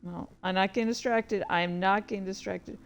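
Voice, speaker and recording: A woman's voice: medium pitch, steady tone, normal speed, clean tone. Chatty, easily sidetracked woman streamer. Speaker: livestream host and streamer. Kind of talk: casual rambling livestream chat to viewers.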